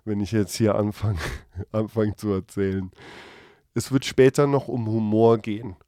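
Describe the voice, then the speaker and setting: A man's voice: lowish voice, fairly even intonation, unhurried, warm tone, no room sound, clean studio sound. A German man in his thirties hosting a podcast with a casual, comedic, rambling monologue full of slang and swearing. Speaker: podcast host.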